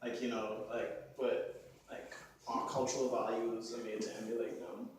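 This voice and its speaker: A man from Haiti and the Bahamas talks informally as an artist about his work. He thinks out loud in filler-laden phrases. The recording is very reverberant and distant, with clear noise behind him. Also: mid-range voice, flat delivery, normal speed. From born in Haiti, grew up in the Bahamas. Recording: echoey room, distant mic, clear background noise.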